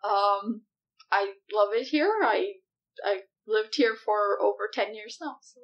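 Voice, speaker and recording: A woman's voice: mid-range voice, takes it slowly, dull audio. A woman in her thirties from Malaysia, a startup CEO talking through ideas in an interview, with many fillers and easy laughs. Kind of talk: in-person interview.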